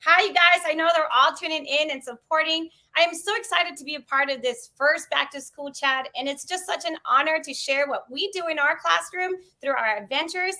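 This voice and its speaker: A young woman from South Texas, a bilingual teacher, talking in a gushing, energetic way on a video call. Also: high-pitched, moderate pace, hardly any pauses. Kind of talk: casual video-call chat. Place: room indoors.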